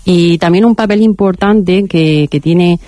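A woman from Andalusia, a researcher answering interview questions in a measured, hesitant way and repeating words as she thinks. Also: on the low side, dark tone, no room sound. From Andalusia, Spain. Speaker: researcher guest.

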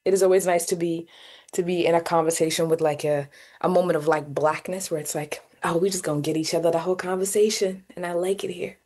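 A young woman from Sudan, Arabic her first language, answers in a low voice. She searches for her words, circling back with fillers and restarts as she reflects. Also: steady tone, fast, a little mellow. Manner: thoughtful, many fillers and restarts. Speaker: guest poet and artist. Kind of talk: reflective interview talk.

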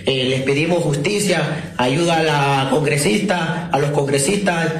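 A man from Honduras making an earnest, halting plea for help in a recorded message. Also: fairly high pitch. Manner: halting, pleading. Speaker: detained deportee appealing for help. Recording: echoey amateur recorded message.

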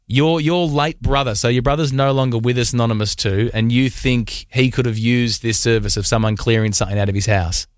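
A man's voice: medium pitch, steady tone, a little fast, hardly any pauses, no echo, studio quality. A man with an Australian accent hosting casual talk radio, putting informal, inquisitive questions to callers. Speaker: radio host.

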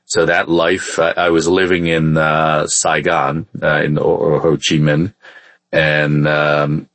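A man's voice: rumbling low, monotone, slow pace, fairly bright tone, dry recording. A man from Vietnam who moved to the US as a child, telling his story casually as an interview guest, hesitating often. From born in Vietnam, in the US from age ten. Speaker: podcast guest, entrepreneur.

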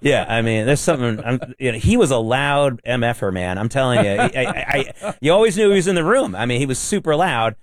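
A man's voice: medium pitch, expressive, a little fast, few pauses, no echo, studio quality. A man co-hosting a talk radio show, blunt and emphatic. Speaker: radio co-host. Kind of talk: casual talk radio chat.

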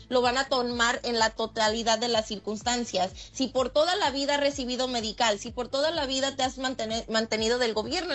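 A woman from Michoacán, a lawyer who is a radio guest, explaining legal rules in a steady, patient way. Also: on the high side. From Michoacán, Mexico. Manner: steady and explanatory, laughs easily.

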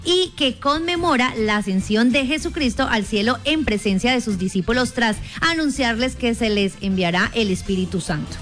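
A woman co-hosting a morning radio show, bubbly and quick with replies. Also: a little high, lively intonation, fairly bright tone, faint music behind. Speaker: radio co-host. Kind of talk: radio banter and calendar segment.

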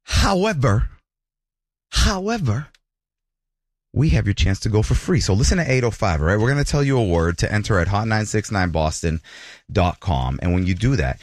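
A man hosting a morning radio show, chatting with a caller and reading ads in a lively, chatty way. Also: on the low side, dry recording. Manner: lively, chatty, laughs easily. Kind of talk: radio show talk and ad reads. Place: radio studio.

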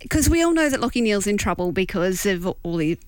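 An Australian woman co-hosting a radio show, chatting casually with quick asides and laughs. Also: medium pitch, sing-song, clear, resonant tone. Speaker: radio co-host. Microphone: studio microphone.

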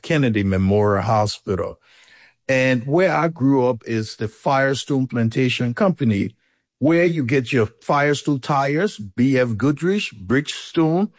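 A middle-aged man with a Liberian accent, a guest recounting his life story conversationally, animated when he quotes others. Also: mid-range voice, no echo, studio quality. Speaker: interview guest. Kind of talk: casual storytelling in an interview.

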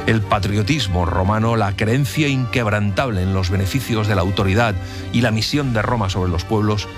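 Low-voiced man narrating a documentary. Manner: even, authoritative storytelling. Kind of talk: scripted historical narration. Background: faint music.

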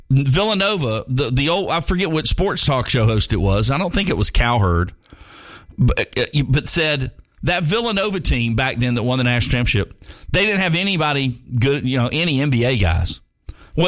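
Animated rambling male sports radio host. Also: mid-range voice, no room sound. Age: 50s. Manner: rambling, jokey, many restarts. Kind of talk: casual sports talk monologue.

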